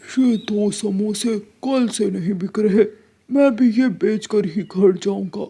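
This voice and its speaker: A woman voicing a gruff, curt male bird shopkeeper in a children's cartoon, with a husky, dismissive delivery. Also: medium pitch, slow, dark tone. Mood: matter-of-fact.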